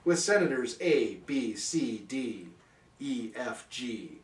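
A man's voice: medium pitch, big pitch swings, slow pace, echoey room, distant mic. A man reading a rhyming bedtime story parody aloud in a theatrical, singsong manner.

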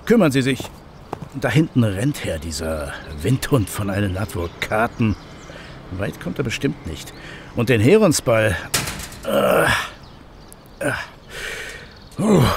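Man playing excitable professor, faint noise behind. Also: mid-range voice, takes it slowly, vocal fry, long pauses, heavily acted. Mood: relieved. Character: excitable, courteous male professor, antique technology expert. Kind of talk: German audio drama acting.

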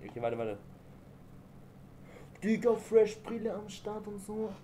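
Young man, early twenties, relaxed warm tone, slangy, teasing, casual video-call chat. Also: high-pitched, unhurried, a little hiss or hum. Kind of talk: casual joking chat with strangers. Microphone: webcam or computer mic on a video call.

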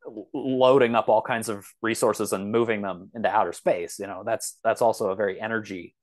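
A man speaking as a podcast guest in a reflective, conversational way, often halting and circling back with asides. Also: lowish voice, fairly even intonation. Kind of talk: thoughtful conversational interview.

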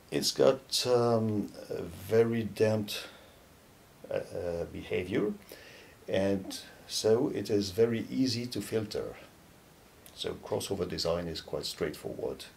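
A man in his fifties giving a measured, halting explanatory product talk to camera, pausing between phrases. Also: vocal fry. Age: 50s. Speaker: loudspeaker company presenter. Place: dark indoor studio or demo room, seated. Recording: low rumbling background noise.

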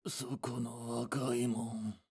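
Very husky, slow old man. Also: medium pitch, level tone, a little mellow. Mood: ominous, admonishing. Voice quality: husky, raspy. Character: mysterious old homeless man, former fortune-teller. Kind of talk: audio drama acting.

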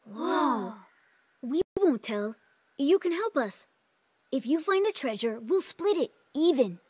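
A woman's voice: sits high, very animated, slow pace, a little mellow, dry recording, heavily acted. A female performer playing a defiant, scrappy child treasure hunter in a video game cutscene. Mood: eager.

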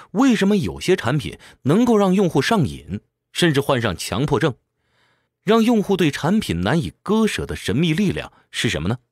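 A man's voice: medium pitch, no echo, studio quality. A man presenting a scripted book summary in a conversational explainer's manner, posing rhetorical questions as he goes.

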